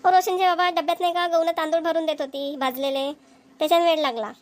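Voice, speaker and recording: A woman's voice: very high voice, fairly even intonation, fast. A woman voicing a patient village mother in a cartoon, speaking in rural dialect. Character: village mother, patient, homely, dutiful wife. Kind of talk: cartoon voice acting.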